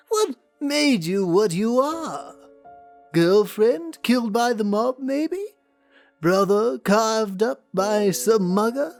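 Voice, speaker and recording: Unhinged, swooping clown villain. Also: male voice, high voice, voice pushed up, full character voice. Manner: theatrical, unhinged, halting. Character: deranged, taunting male comic-book clown villain. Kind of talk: dramatic cartoon villain acting.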